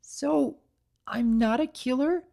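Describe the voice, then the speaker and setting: A woman voicing an excitable, tender-hearted young female cartoon pony baker, effusive, earnest and girlish. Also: high voice, slow, creaky, pitched above the performer's own voice, heavily acted. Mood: remorseful, pleading.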